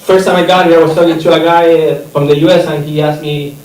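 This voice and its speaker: A man's voice: on the high side, steady tone, quick pace, a little mellow. A man from Chile, performing a stand-up comedy set in a rambling, self-interrupting way. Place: comedy theater stage. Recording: echoey room from a distance, audience laughter.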